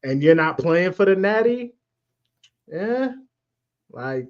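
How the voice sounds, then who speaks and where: A man's voice: high-pitched, very animated, takes it slowly, clear, resonant tone, lo-fi sound. A man co-hosting a casual sports podcast over a video call, laid-back and slangy. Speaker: podcast co-host.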